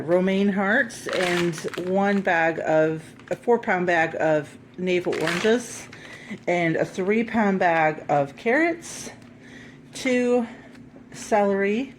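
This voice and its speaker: Stuffed-up woman homesteader for a laid-back grocery haul vlog; slow, rambling, self-correcting, light room noise. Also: on the low side. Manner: chatty, with many ums and self-corrections. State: stuffed up from a head cold. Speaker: homesteading vlogger.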